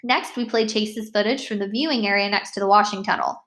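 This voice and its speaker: A woman reading a children's novel aloud as an expressive first-person storyteller. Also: mid-range voice, brisk. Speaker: read-aloud narrator.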